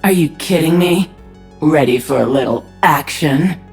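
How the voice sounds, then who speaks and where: A woman's voice: low-pitched, measured pace, music in the background. An actress voicing a tough, confident female covert operative in a video game, cool and self-assured. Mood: cool, eager.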